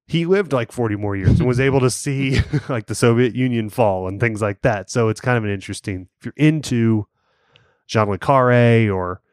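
A man's voice: mid-range voice, normal speed, smooth, no room sound, studio quality. A man co-hosting a podcast, chatting casually with plenty of fillers and restarts. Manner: rambling, lots of fillers and restarts. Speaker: podcast co-host. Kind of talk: casual chat.

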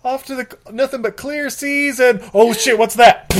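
A man's voice: very high-pitched, measured pace. A man casually retelling a movie's plot as a podcast co-host, acting out the characters and laughing often. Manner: animated, acts out scenes in voices, laughs often. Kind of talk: casual movie recap chat.

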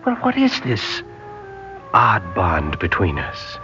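Boyish Northern Irish sailor, polite and wistful, hesitant formal phrasing, lively low pitch, dull old radio sound with music. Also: male voice, slow pace, heavily acted. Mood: earnest, wondering. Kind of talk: old radio drama acting.

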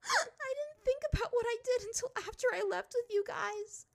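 A woman voicing an emotional, defensive teenage runaway princess in a high, quavering voice, in a storybook reading. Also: normal speed, gravelly. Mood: tearful, faltering.

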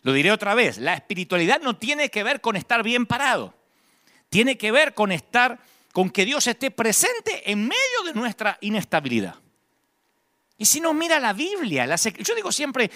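A male preacher delivers a conversational sermon in a high-pitched, forceful voice. His intonation swings widely, and he leans on key phrases, repeating them for rhetorical emphasis.

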